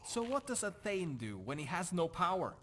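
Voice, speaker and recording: A man's voice: high-pitched, very animated, normal speed, a bit bright, slight background noise. Man playing a dour, brooding fantasy nobleman. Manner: gloomy, brooding, self-questioning. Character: dour, disillusioned Nordic nobleman doubting his purpose. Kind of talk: video game character dialogue.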